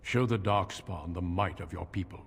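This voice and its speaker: A grave, deep-voiced veteran warrior. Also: male voice, vocal fry, breathy edge, exaggerated voice. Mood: grave, measured. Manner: formal, measured. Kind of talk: video game voice acting. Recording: reverberant hall, noisy game ambience.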